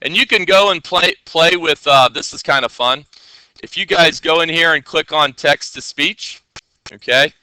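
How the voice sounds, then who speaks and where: A man's voice: on the high side, sharp tone, smooth, no echo, low-quality recording. A man teaching coding in a casual screen-share tutorial. He talks in a loose, chatty way with many fillers, and keeps checking in with his listeners.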